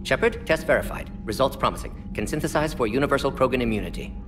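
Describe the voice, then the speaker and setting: A man voicing a brisk clipped alien scientist. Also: medium pitch, animated, lightly acted. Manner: clipped, rapid, telegraphic phrasing. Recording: game audio with music bed.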